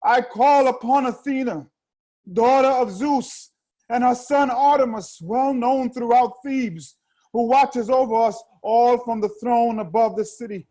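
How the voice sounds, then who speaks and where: An actor playing a terrified chorus praying to the gods in an incantatory reading of Greek tragedy, with fervent declamation. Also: male voice, extremely high pitch, measured pace, dark tone, low-quality recording. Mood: fearful, pleading.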